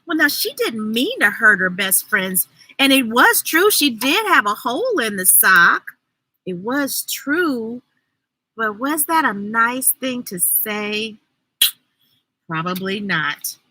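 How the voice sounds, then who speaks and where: High, very bright, animated woman storyteller. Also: breathy edge, poor audio. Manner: expressive, deliberate. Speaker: storyteller, host. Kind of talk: children's storybook read-aloud with asides.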